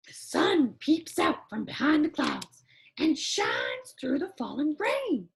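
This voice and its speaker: Woman voicing a very high-pitched childlike storybook puppet. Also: big pitch swings, slow pace, creaky, full character voice. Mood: gentle, wondering. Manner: exaggerated, wondering, talks directly to children. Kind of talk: animated children's storybook read-aloud.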